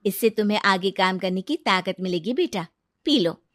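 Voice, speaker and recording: A gentle, caring motherly woman with a soft, kind delivery, as the narrator puts her on. Her voice sits at an everyday pitch and is recorded dry and close. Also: animated, moderate pace, no echo, close to an everyday voice.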